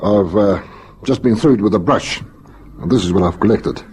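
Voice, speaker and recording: Methodical police lieutenant. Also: male voice, fairly low pitch, normal speed, a little mellow, breathy edge. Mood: probing, thoughtful. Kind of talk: vintage radio crime drama acting. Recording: noisy old radio drama recording.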